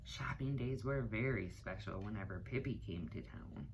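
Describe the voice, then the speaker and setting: A middle-aged woman reading a children's picture book aloud, pausing for asides to her young listeners. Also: rumbling low, slight background noise. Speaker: children's story time reader, teaching assistant. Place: small cluttered room indoors.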